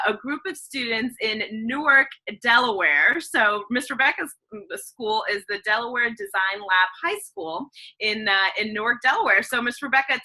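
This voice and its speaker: Very bright, encouraging woman host. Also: a little high. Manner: warm, encouraging, teacherly. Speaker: host of a virtual class meeting. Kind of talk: hosting a video call between classrooms. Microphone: video call.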